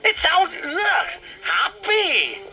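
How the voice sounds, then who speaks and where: Man putting on a screeching cartoon witch lady, very high, wildly swooping pitch, slow snappish scolding, dull old broadcast sound. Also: huge pitch swings, pushed and bright, faint music behind, heavily acted. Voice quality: shrill, screechy. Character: shrill screeching grumpy witch woman.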